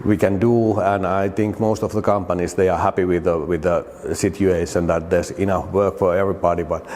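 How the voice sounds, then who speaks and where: Man, Finnish accent, very deep pitch, halting restarts, interview, long hall reverb. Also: darkish tone. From Finland. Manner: halting, many repeated words and restarts. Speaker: demolition company director. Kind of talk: interview answers.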